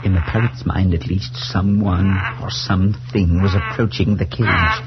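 An actor playing a grave, troubled priest who narrates in a measured, solemn 1940s radio suspense style. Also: male voice, low-pitched, fairly even intonation, background noise. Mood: hushed dread. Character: grave, troubled male priest, shaken but reasoning. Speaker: narrator and character. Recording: dull 1940s radio recording with music.

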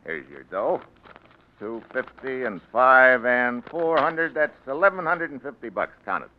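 A man's voice: mid-range voice, lively intonation, a little slow, a little hiss or hum, lightly acted. An actor playing a brash, stubborn tough guy on the rise in a 1940s radio drama, slangy, uneducated and defiant. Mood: surly, challenging. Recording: dull 1940s radio recording.

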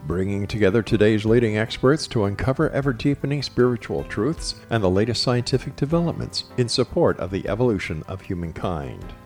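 A man's voice: fairly low pitch, a little mellow. A man announcing a radio show in scripted introduction style.

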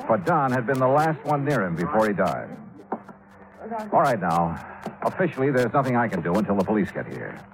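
An American actor playing a tough, probing insurance investigator in a 1950s radio drama, clipped and commanding. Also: male voice, fairly low pitch, sing-song, fairly quick, vocal fry, low-quality recording. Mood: grim. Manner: clipped, commanding, hard-boiled. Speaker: narrator and lead actor. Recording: 1950s radio broadcast recording.

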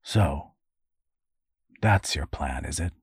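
Deep, weary, sardonic father voiced by a man. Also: expressive, a little slow, husky, no echo, heavily acted. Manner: muttered, understated, dry.

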